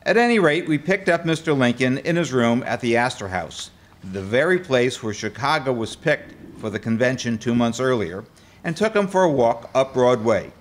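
An older man performs a formal nineteenth-century delegate in a staged radio play. He recounts events in a measured, medium-pitched voice, reading from a script into a stage microphone. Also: expressive, lightly acted. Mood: measured, earnest. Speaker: amateur actor reading a historical part. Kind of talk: scripted first-person narration at a staged reading. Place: auditorium stage before an audience. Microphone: microphone on a stand.

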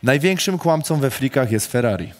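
A man hosting a rapid question round, reading statements aloud with a Polish accent and casually swearing. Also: medium pitch, expressive.

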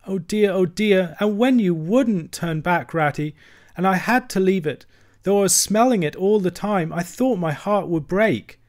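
A man voicing a small, timid, homesick male mole who sobs and pleads in a high, broken voice. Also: measured pace, darkish tone. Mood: sobbing.

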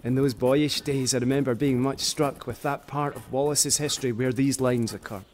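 A man acting a proud young Scottish poet in a period radio play. His voice is mid-pitched, ardent and formal as he voices a letter, with faint ambience behind it. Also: steady tone, moderate pace, a little mellow, slight background noise, lightly acted. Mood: ardent, reverent. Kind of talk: radio drama, letter read aloud.

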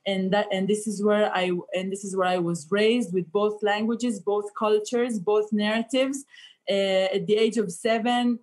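A woman's voice: medium pitch, steady tone, a little slow, a little mellow. Woman, thirties, stand-up comedian, video call, chatty, fillers. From Israel. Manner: chatty, joking, many fillers. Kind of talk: comic chat on a video call. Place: indoor room. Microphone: laptop webcam on video call.

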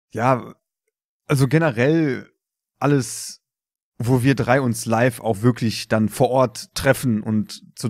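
A man's voice: medium pitch, darkish tone, no echo, studio quality. A man co-hosting a podcast in casual chat, searching for words as he goes. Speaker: podcast co-host.

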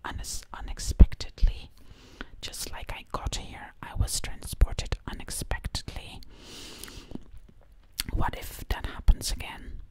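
Woman whispering slowly, breathy ASMR. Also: poor audio. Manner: slow, repeats single words over and over. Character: quirky zombie princess time-traveler from the 1920s. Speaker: ASMR performer. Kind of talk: ASMR roleplay ramble, whispered. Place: indoors, living room. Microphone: close microphone, ear to ear.

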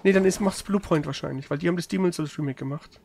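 Male let's play streamer, high voice with gravelly creak, slangy casual commentary over music. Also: quick pace, darkish tone, slight background noise. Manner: colloquial, slangy, mild swearing. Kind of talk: casual gameplay commentary and chat.

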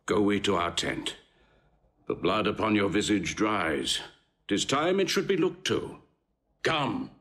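An actor playing a magnanimous Roman commander in stately, declamatory Shakespearean drama. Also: male voice, lowish voice, big pitch swings, takes it slowly, long pauses, fairly bright tone, full character voice. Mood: concerned. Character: magnanimous Roman commander, generous to his soldier. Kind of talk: classical Shakespearean audio drama.

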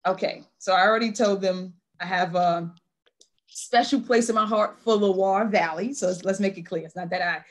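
A woman in her thirties hosting a virtual wine tasting over a video call, chatty and quick to laugh. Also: mid-range voice. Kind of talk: casual video call chat. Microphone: laptop video call.

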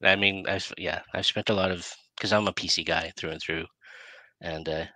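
Low-voiced middle-aged man, bright tone. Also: steady tone, normal speed. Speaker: interview host. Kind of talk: casual chat. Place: indoor room, video call. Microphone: headset.

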